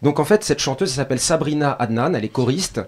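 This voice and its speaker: Fast-talking male show contributor. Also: medium pitch, animated. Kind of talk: conversational anecdote telling.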